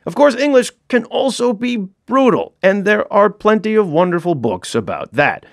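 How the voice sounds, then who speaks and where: Middle-aged man, dry close studio read, animated swoops in pitch, wry self-deprecating comic narrator. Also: moderate pace, creaky, dry recording. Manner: wry, self-mocking storyteller. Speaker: author reading his own audiobook.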